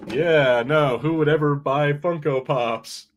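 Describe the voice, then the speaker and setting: A man's voice: on the high side, a little hiss or hum. Slangy, sweary man chatting casually. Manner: informal, slangy, sweary, halting. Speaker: podcast co-host. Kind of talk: casual film chat on a watch party.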